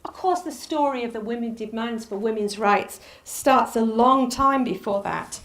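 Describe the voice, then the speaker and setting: A woman with a Northern English accent giving a public history lecture, animated, rising on key names and dropping low for asides. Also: normal speed. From Northern England. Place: lecture hall.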